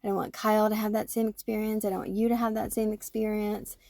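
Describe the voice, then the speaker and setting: A woman in her forties, co-hosting a podcast, talking through her own thoughts in an animated way and often checking in with "right?". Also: mid-range voice, fast talker, creaky, no echo, studio quality. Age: 40s. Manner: animated, self-reflective, fond of tag questions like "right?". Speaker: podcast co-host. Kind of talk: casual conversation.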